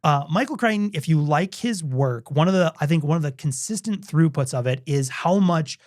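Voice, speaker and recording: A man in his thirties co-hosting a podcast, talking through his favorite books in a loose, chatty way. His voice sits a little high for a man, and the close mic gives it a dry, flat sound. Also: normal speed, no room sound. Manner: relaxed, chatty, many fillers and laughs. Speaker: podcast co-host. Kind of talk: casual conversation about books.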